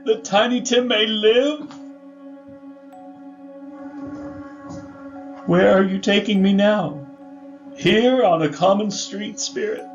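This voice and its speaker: A man acting a frightened old miser pleading with a ghost, tremulous, halting and melodramatic, in a theatrical radio drama. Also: high-pitched, gravelly, lo-fi sound, heavily acted. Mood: anguished.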